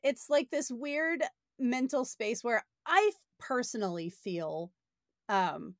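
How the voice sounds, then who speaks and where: Woman podcast panelist, slightly high voice, dry close recording, casual, opinionated, sardonic. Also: animated, takes it slowly, no echo. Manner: opinionated, sardonic, uses profanity. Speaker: podcast panelist reviewing books. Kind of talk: casual discussion.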